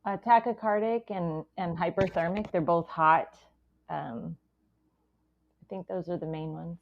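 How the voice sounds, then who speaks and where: A woman's voice: on the low side, fairly even intonation, a little slow, vocal fry. A woman speaking conversationally as a physician guest in an interview. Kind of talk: conversational medical anecdote and comment. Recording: slightly dull, lo-fi audio.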